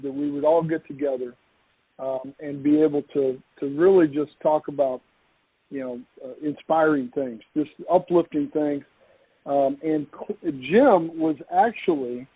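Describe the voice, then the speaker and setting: A man's voice: fairly high pitch, slightly rough, no echo. A man with a West Texas accent hosting a podcast, chatting in a jokey, self-deprecating way. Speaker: podcast host. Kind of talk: casual video-call chat. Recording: phone-like call audio.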